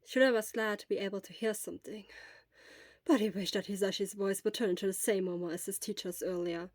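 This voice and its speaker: A woman reading a fan fiction story aloud as narrator, speaking softly and sometimes dropping to a whisper. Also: medium pitch, fairly even intonation, brisk, dry recording, clean studio sound.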